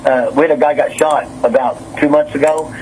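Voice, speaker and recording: A man's voice: on the high side, fairly even intonation, brisk, darkish tone. A nervous, agitated man talking on the phone, rambling, repeating himself and stumbling over his words. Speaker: city councilman and business owner on a phone call. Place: on a telephone. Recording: noisy recorded phone call.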